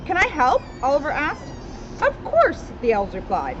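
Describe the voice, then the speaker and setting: High-pitched lively woman, noisy outdoor recording. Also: moderate pace. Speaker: police officer reading a children's story aloud. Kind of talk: storybook read-aloud with casual asides. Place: outdoors in a town street. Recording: dull outdoor recording with loud street noise.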